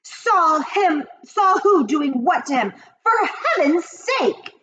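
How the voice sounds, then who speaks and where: A woman voicing a blustering, indignant middle-aged man who shouts at a hotel guest. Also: on the high side, big pitch swings, moderate pace, pitched above the performer's own voice. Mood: furious. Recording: dull lo-fi with faint hiss.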